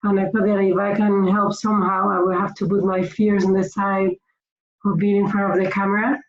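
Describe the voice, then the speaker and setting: A woman from Spain with a Spanish accent gives informal instructional tips to camera in a slightly hesitant, halting way. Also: medium pitch, flat delivery. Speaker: finance consultant giving budgeting tips. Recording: roomy echo, dull lo-fi sound, faint noise.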